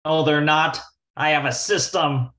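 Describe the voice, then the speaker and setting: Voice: medium pitch, fairly even intonation, measured pace, fairly bright tone, close to an everyday voice. An actor playing a scheming young male gambler who talks in wise-guy slang in an amateur radio mystery. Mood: wheedling. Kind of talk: amateur old-time radio comedy-mystery acting.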